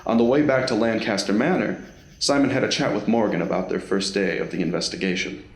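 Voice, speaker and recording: A man's voice: on the low side, brisk, vocal fry. A man reading scripted narration for an audio drama. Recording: roomy, echoing voice recording.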